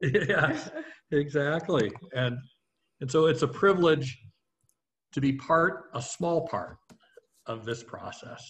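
An older man talking warmly and slowly on a video call from his home. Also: a little high, lively intonation, a little hiss or hum. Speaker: friend and donor. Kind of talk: casual video call conversation.

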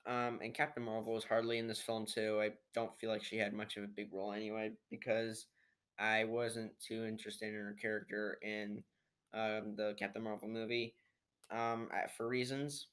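A man's voice: on the low side, level tone, normal speed, a bit bright, smooth. A teenage boy giving a casual, unscripted movie review in a rambling, hesitant way, recorded at home on a built-in computer microphone. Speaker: amateur video reviewer. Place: living room at home, indoors.

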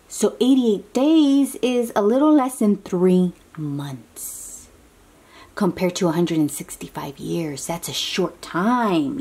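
A woman reads a picture book to little children in an unhurried, slightly breathy voice. Her pitch swings widely as she exclaims, stretches words and drops into hushed asides, often putting simple questions to her listeners. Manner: talks directly to young listeners with simple questions. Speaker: children's read-aloud host. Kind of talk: animated picture-book reading to young children.

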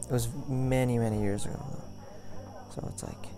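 A young man acting as a caring, goofy boyfriend in an intimate ASMR roleplay, talking in a rambling, halting way. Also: medium pitch, fairly even intonation, natural voice. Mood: amused, chatty. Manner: rambling, halting, chuckling storyteller. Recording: music playing behind the voice.

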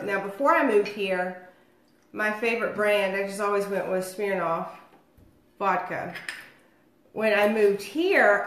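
A woman in her thirties talks her way loosely through a home livestream, full of fillers and asides. She is getting over a cold, so her voice is a bit nasal and phlegmy. It is picked up by a phone across the table in an echoey dining room. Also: mid-range voice. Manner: rambling and chatty, many fillers. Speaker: host of a home cocktail livestream. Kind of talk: casual livestream chat. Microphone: phone or webcam across the table.

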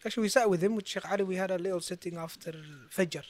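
A man co-hosting a podcast, brisk and chatty, speaking into a studio boom mic. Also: fairly even intonation, darkish tone. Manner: brisk, chatty, rattles off news. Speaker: podcast co-host. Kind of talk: casual podcast chat. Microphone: studio mic on boom arm, headphones on.

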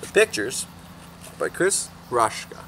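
A young man reading a children's picture book aloud as a teacher, expressively and deliberately, pausing between phrases, recorded outdoors a little way from the camera. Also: mid-range voice. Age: young adult. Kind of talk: children's story read-aloud. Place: outdoors in a fenced backyard garden. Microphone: camera a few feet away. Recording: faint steady outdoor background noise.

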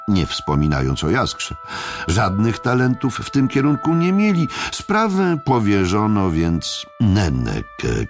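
A male audiobook narrator with a deep, gravelly voice reads literary prose dramatically. His phrasing is deliberate, full of pauses, and his pitch swings very widely.

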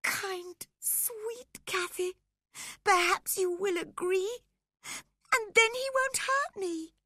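A woman's voice: very high voice, slow pace, bright, forceful tone, no room sound, studio quality, pitched above the performer's own voice, full character voice. A woman voicing a frightened, sickly teenage boy in an audiobook story, sobbing and pleading. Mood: tearful pleading. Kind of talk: dramatised audiobook reading.

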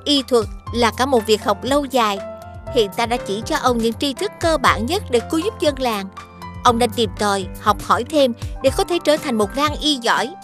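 Actress as young fairy-tale noblewoman, high-pitched, earnest, formal, music behind. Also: animated, moderate pace, lightly acted. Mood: kindly instructing. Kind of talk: animated fairy tale acting.

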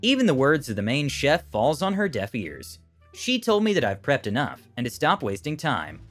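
Animated man narrating a story. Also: medium pitch, moderate pace. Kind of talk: scripted story narration, read aloud. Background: background music.

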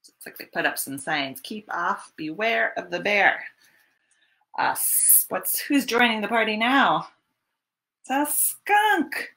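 A woman in her thirties hosting storytime, reading a picture book to young children in an expressive, teacherly way. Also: medium pitch. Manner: expressive, deliberate teacherly delivery. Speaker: children's library storytime host. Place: small home room, indoors.

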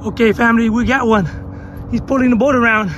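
A man hosting a fishing vlog from a small boat, giving excited commentary, whooping and repeating himself while fighting a fish. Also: very high voice, fast talker, muffled recording, faint music behind. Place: small open boat on a wide river delta. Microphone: action camera on the boat. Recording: noisy outdoor action-cam audio. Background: wind, water and boat noise.

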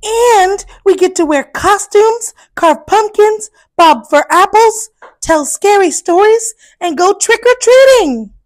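A bubbly young hippo girl, voiced by a woman reading aloud chatters with eager delight. Her voice sits high and lifts at every turn. She sounds like the heroine of a children's storybook. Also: animated, slow pace, full character voice. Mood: excited. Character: excited young female hippo.

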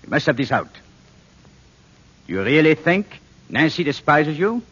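An actor playing a cold, condescending, manipulative husband in an old radio drama, needling and then sharply commanding. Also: male voice, on the high side, sing-song, measured pace, exaggerated voice. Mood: pressing, irritated. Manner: smooth-worded needling, switching to sharp command. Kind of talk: 1940s radio drama acting. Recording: muffled old radio broadcast with long reverb.

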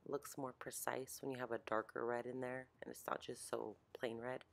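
A young woman with a deep, slightly hoarse, allergy-congested voice, chatting informally through a casual makeup tutorial. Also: little pitch movement, normal speed, noisy background. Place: small home art studio, indoors. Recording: roomy echo, music behind.

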